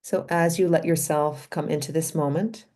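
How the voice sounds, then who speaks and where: A woman leading a guided meditation in a gentle, unhurried manner, with pauses between short phrases. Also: deep voice, steady tone. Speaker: meditation leader and physician.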